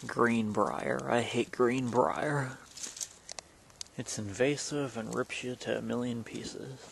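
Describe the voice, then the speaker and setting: A man's voice: mid-range voice, fairly even intonation, slow, creaky, slight background noise. A man giving casual vlog commentary while filming on a handheld camera outdoors on a wooded trail. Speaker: vlogger. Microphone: handheld camera, held by the speaker.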